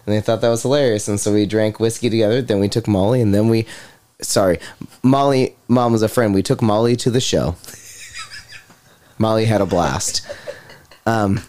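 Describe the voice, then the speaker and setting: A man's voice: lowish voice, normal speed, long pauses, a little mellow. An American man from California in casual podcast chat, telling stories in a jokey, irreverent manner. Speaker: podcast host. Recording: music playing behind the talk. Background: music.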